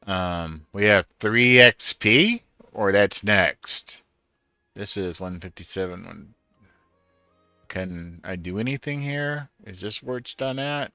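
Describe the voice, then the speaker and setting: A man's voice: fairly low pitch, slow pace, pushed and bright, clear, resonant tone, no echo, muffled recording. A man giving casual first-time commentary on a video game, thinking aloud as he plays. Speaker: gaming streamer. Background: game music.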